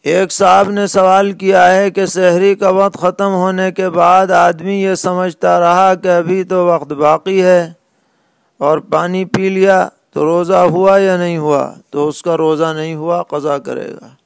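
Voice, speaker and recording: Slow, measured man with creaky voice. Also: high voice, little pitch movement, no echo. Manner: measured, deliberate, pausing mid-sentence. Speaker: Islamic scholar (mufti). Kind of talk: religious question-and-answer lecture in plain rulings.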